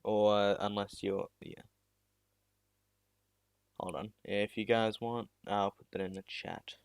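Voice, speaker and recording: A man's voice: on the low side, level tone, slow pace, clean tone, dry recording. A teenage boy from South Australia, chatting casually with viewers on a live stream, rambling and making fun of himself. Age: teens. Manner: rambling and self-deprecating, with many restarts. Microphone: new USB mic.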